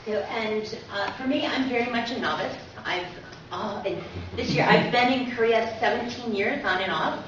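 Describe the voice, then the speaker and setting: A distant, muffled recording of a middle-aged woman presenting in a very echoey room. She explains things conversationally, like an informal lecture, at an ordinary pitch, over faint noise. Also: normal speed, few pauses. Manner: conversational, explanatory, often tags points with "right?". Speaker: co-presenter, university teacher. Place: indoor talk room. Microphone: distant from speaker.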